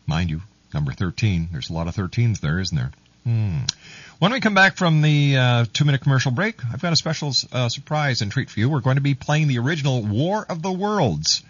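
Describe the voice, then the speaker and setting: A man's voice: medium pitch, sing-song, brisk, breathy edge, no echo. A man hosting a live radio talk show, reading out items in an announcer's manner and sometimes stumbling over the text. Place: radio studio. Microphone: close studio microphone. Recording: muffled, lo-fi radio audio.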